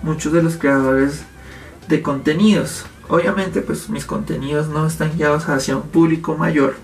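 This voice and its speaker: A man's voice: on the high side, steady tone, moderate pace. A man, talking to camera from a home office and explaining his topic, gesturing as he speaks. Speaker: YouTube host. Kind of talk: casual commentary to camera. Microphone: fixed camera, medium framing.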